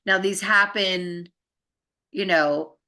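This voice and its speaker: A woman's voice: medium pitch, steady tone, slow, bright, forceful tone. A middle-aged woman co-host in a casual chat, explaining things in a matter-of-fact way.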